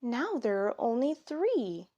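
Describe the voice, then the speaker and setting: A woman voicing an affectionate, easily dismayed mother in a children's storybook, with expressive exclamations. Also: fairly high pitch, takes it slowly, lo-fi sound, heavily acted.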